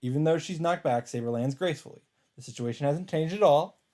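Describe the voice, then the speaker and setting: A man's voice: a little high, fast, mellow, slight background noise. A man reading a visual novel aloud as a let's player, in dramatic narration. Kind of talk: dramatic read-aloud narration with casual asides. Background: game music.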